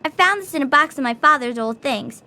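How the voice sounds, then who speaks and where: A woman's voice: high voice, lively intonation, a little fast, pushed and bright, exaggerated voice. A woman playing a friendly, teasing young girl in a video game, with a playful, cheerful manner. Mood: playful, pleased. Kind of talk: video game character acting.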